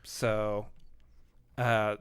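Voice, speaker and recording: A man co-hosting a live-streamed chat, speaking quietly and rambling with plenty of fillers and restarts close to the microphone. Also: lowish voice, flat delivery, drawn-out words, clear, resonant tone. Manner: not boisterous, quieter delivery. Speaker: podcast co-host.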